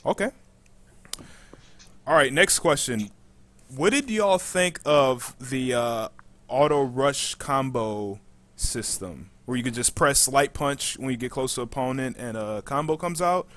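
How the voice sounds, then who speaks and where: A man's voice: medium pitch, expressive, unhurried, fairly bright tone. A man hosting a casual gaming interview, putting questions to his guests. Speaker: interview host.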